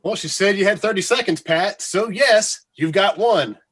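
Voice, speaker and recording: A man's voice: high-pitched, normal speed, fairly bright tone, husky. A man acting in a comedy radio play as a sardonic, goading radio co-host with a mocking, exclamatory delivery. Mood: sarcastic.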